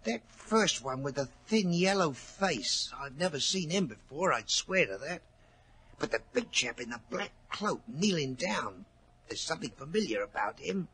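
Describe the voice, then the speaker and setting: An actor playing a timid, superstitious stable hand with nervous rustic speech, in a noisy 1940s radio drama. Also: male voice, a little high, animated, normal speed, pushed and bright, breathy edge, heavily acted. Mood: hushed, tense.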